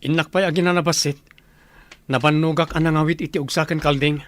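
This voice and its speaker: A man's voice: on the high side, fairly even intonation, fast talker, fairly bright tone, dry recording, lightly acted. A man playing a tired village hunter, a younger sibling, in an Ilocano radio drama. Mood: tired, brisk.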